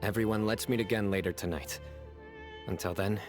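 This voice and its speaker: Low, stoic young man played by an actor. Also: music in the background, lightly acted. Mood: grave, resolute. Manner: measured, serious, formal. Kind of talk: video game voice acting.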